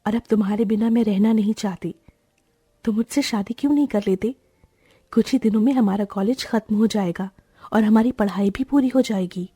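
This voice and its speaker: A woman's voice: mid-range voice, moderate pace, dark tone, husky, dry recording, studio quality, soft background music, close to an everyday voice. Woman voicing a spirited rich young bride. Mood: earnest. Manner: pleading, emotional.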